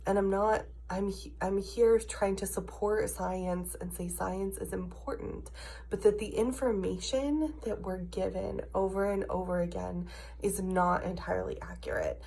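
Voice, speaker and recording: A woman's voice: mid-range voice, fairly even intonation, a little slow, gravelly, a little mellow, slight background noise. An American woman in her early thirties, sick with a cough and sinus trouble, giving a frustrated, emphatic, casual personal rant. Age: early 30s. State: ill, with a cough and congested sinuses. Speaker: video diarist.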